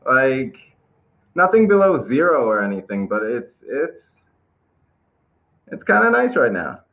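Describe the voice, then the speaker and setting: A man's voice: medium pitch, sing-song, measured pace. A young man from Israel with an American-tinged Israeli accent, chatting casually as an interview guest, loose and hesitant with lots of fillers. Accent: American-influenced English, Israeli. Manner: laid-back, hesitant with many fillers and restarts. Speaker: guest skateboarder. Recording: tinny phone-line call audio.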